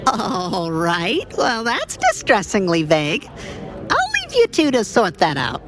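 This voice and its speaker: Breezy, very animated barmaid actress, crowd behind. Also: female voice, mid-range voice, slow pace. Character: breezy, chatty woman serving drinks at a bar. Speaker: voice actor in an audio drama. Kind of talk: audio drama acting. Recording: busy crowd chatter behind.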